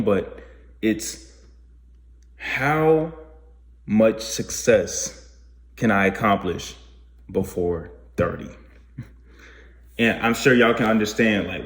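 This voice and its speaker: A man's voice: medium pitch, echoey room. A man in his twenties talking through his life decisions in a rambling, self-questioning monologue, restarting his sentences often. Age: 20s. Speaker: vlogger. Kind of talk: reflective casual monologue.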